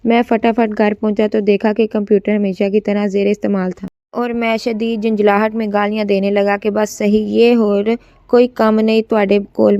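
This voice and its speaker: A woman's voice: medium pitch, warm tone, dry recording, highs cut off. A woman narrating a scripted first-person story, occasionally stumbling as she reads.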